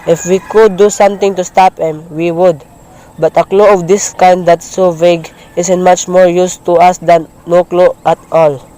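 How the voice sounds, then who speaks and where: A man playing an unhurried, dismissive police desk officer in an amateur radio play, placating and matter-of-fact. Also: sits high, flat delivery, mellow, natural voice, low-quality recording.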